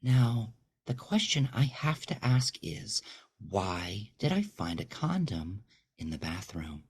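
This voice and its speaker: A man's voice: lowish voice, sing-song, takes it slowly, husky, voice pushed up, full character voice. A man voicing a bitter, ruthless betrayed wife in a dramatic audio story, with a biting, accusatory, emphatic delivery. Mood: cold fury.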